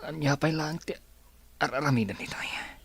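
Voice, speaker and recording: An actor playing a bitter, stubborn sick man in a radio drama, grumbling wearily in a husky voice. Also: a little high, normal speed, a bit bright. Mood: bitter, despairing. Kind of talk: Ilocano radio drama acting.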